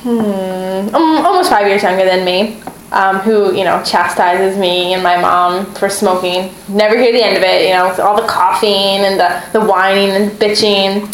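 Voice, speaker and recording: A young woman co-hosting a casual podcast, chatty and quick to laugh, with a voice she calls screeching, recorded on a shared mic. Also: medium pitch, fairly even intonation. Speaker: podcast co-host. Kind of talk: casual chat. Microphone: shared mic on a table, an iRiver player. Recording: echoey room, faint background noise.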